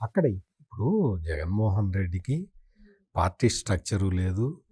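A man's voice: sits low, very animated, normal speed, warm tone, breathy edge. A man speaking as a political commentator in an interview, in a critical, opinionated way with emphatic repetition.

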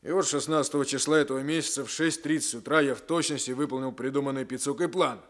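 A man acts a sullen crook being questioned in an old radio play. His voice is medium-pitched, and he talks in a grudging, defiant, streetwise way. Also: steady tone, quick pace, a bit bright, close to an everyday voice. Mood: grudging confession. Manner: grudging, colloquial. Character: sullen, defiant male criminal under interrogation. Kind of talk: 1960s Soviet radio drama acting.

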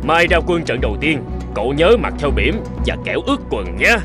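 Man acting mocking army commander with lively pitch. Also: a little fast. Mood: announcing. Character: gruff, mocking army commander, a big middle-aged man. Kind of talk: dubbed cartoon dialogue. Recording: music behind the voice.

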